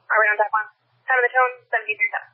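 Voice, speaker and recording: Quick, flat dispatcher on radio. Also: medium pitch. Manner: clipped, businesslike. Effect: two-way radio. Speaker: fire dispatcher. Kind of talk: radio dispatch read-backs. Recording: rough, narrow-band radio dispatch audio.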